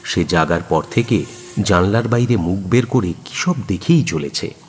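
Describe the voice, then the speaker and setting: A man narrates a radio drama in a low voice. His intonation is very animated as he reads the scripted story, with music clearly playing underneath. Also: unhurried.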